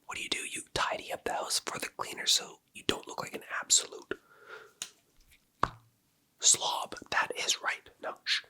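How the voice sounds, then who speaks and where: Close, crisp whisper of an ASMR barber. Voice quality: breathy whisper. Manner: soft, teasing, unhurried close-up murmuring. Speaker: ASMR creator playing a barber in a haircut roleplay. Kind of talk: whispered ASMR personal-attention roleplay. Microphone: close-up ASMR microphone.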